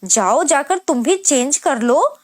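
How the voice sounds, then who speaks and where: A woman's voice: sits high, animated, slow, no echo, clean studio sound. A woman voicing a cold, curt young newlywed husband in a narrated story, blunt and commanding. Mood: stern, warning.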